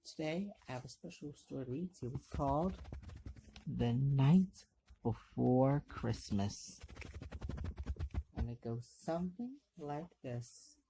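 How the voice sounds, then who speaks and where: A woman playing Santa's wife, reading a Christmas storybook poem aloud in a theatrical, deliberate, emphatic way. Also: a bass voice, animated, mellow, exaggerated voice. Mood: welcoming. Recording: clearly noisy home recording, clicks.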